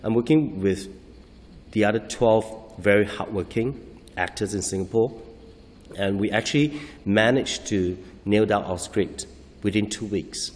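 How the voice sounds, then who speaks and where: Male actor, low voice, hesitant restarts, long hall echo. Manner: halting, searching for words, frequent restarts. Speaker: stage actor. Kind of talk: interview answers.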